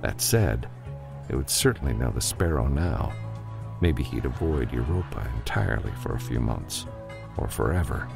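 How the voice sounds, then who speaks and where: A man narrates science fiction in an extremely low voice with a gravelly fry. His reading moves expressively up and down, and it is delivered as a scripted audiobook. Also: animated, moderate pace, over music.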